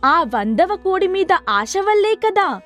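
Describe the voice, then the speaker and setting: Woman doing a wise holy man from a storybook, high voice, lively swings, patiently lecturing. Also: slow, soft background music, exaggerated voice. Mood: amused, explaining.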